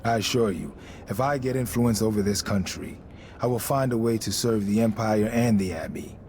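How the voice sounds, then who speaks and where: A male actor plays a stern, ambitious church officer in a video game. His low voice is measured and self-important, with polite menace under it. Clear background noise runs behind him. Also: a little mellow, close to an everyday voice. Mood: warning. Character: ambitious, stern male vice overseer of a religious order. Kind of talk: dramatic video game dialogue.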